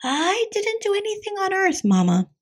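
A woman playing an excitable, boastful young male kitten adventurer in a storybook, exclaiming dramatically. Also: very high voice, normal speed, pitched above the performer's own voice. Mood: protesting. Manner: exclaiming, dramatic, mixing in Spanish words.

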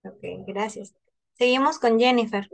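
A woman's voice: a little high, measured pace. Young Peruvian woman moderating a group call. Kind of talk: structured group discussion, introducing questions. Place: indoors on a group video call. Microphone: video call.

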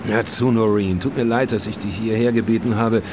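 An actor playing a grudging, matter-of-fact police inspector in a German crime audio drama, dry and businesslike. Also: male voice, lowish voice, steady tone, fairly quick, noisy background, close to an everyday voice. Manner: dry, businesslike, disdainful toward outsiders.

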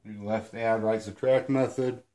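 A man in his thirties, hoarse and sniffling from a cold, giving a rambling, self-deprecating whiteboard lesson at home. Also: on the low side, fairly even intonation, moderate pace, warm tone. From Illinois. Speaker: outdoors channel host. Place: small room at home, indoors.

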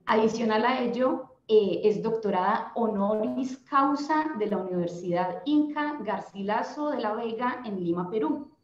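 A woman's voice: on the high side, unhurried, few pauses, a little mellow. A young adult woman with a Colombian accent, moderating a panel and introducing a guest over a video call. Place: office room, indoors. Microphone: laptop webcam on video call. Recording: echoey room, dull lo-fi sound.

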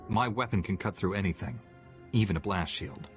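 An actor playing a stoic, confident Jedi Knight hero in a video game, firm and terse. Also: male voice, lowish voice, a little fast, natural voice. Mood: resolute. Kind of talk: video game cutscene acting. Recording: music behind the voice.

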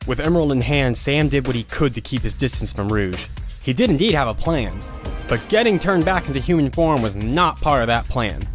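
A man's voice: mid-range voice, expressive, brisk. A man giving scripted narration for a fan audio drama. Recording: loud music score behind.

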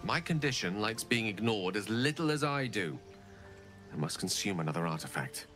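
Middle-aged game wizard, low voice, eloquent, rueful pride, theatrical storytelling. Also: male voice, big pitch swings, normal speed, fairly bright tone, faint music behind. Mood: grave, urgent. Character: human male wizard prodigy, eloquent, proud, rueful. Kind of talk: dramatic video game dialogue.

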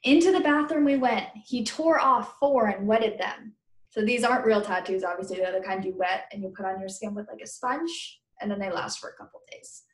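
A woman's voice: mid-range voice, a little fast. A woman teacher reading a children's book aloud, stopping to question and explain things to her pupils. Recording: roomy indoor echo.

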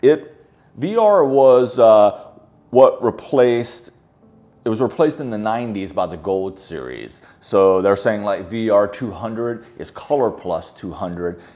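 A middle-aged man with a somewhat low-pitched voice speaks casually to camera like a hobby reviewer. His delivery is conversational and unscripted, picked up indoors by a small clip-on mic. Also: a little mellow. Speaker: film photography vlogger. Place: indoor living-room style office, seated on a sofa.

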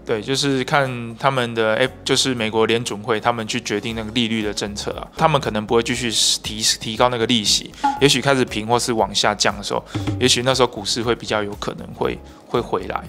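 A man's voice: medium pitch, fairly even intonation, quick pace, hardly any pauses, a bit bright, faint music behind. A man in his thirties with a Taiwanese Mandarin accent, hosting an interview and explaining the guest's points to viewers. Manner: fluent, explanatory, with sentence-final particles. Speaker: host. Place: bright office room. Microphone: handheld mic.

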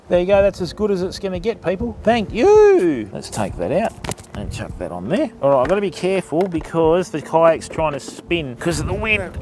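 A man's voice: fairly high pitch, noisy background. Chatty Australian man fishing on a river. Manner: chatty, self-talking, addresses viewers as people. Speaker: fishing live streamer. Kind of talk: casual live-stream commentary and tips. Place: outdoors on a river, kayak and bank. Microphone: action camera mounted on kayak.

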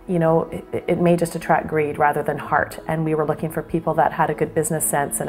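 A woman in her thirties speaks earnestly in a documentary interview. Her voice sits low and stays flat in pitch. Soft music plays faintly under her words. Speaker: sea farm co-owner. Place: indoors by a window over a bay.

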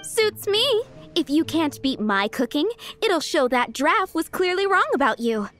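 A woman voicing a proud, opinionated village cook in a video game, brisk and assertive. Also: high-pitched, big pitch swings, a bit bright, heavily acted. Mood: argumentative. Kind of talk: acted video game dialogue. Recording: faint game music underneath.

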